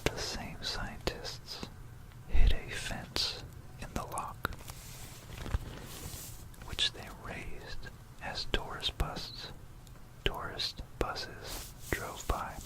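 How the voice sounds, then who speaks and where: An ASMR presenter narrating history in a hushed, unhurried whisper, repeating words and pausing mid-phrase, close to a stereo microphone. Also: low-quality recording. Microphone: close stereo microphone for binaural ASMR.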